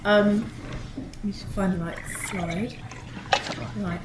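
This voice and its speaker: Woman, hoarse, cold, conference talk, echoey hall, background noise. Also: medium pitch, steady tone. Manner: measured, informative, frequent ums. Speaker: panel speaker. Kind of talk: conference presentation.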